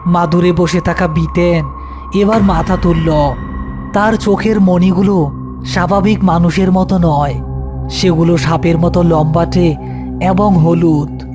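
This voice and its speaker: High-pitched man narrating a horror story. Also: steady tone, unhurried, long pauses, music in the background.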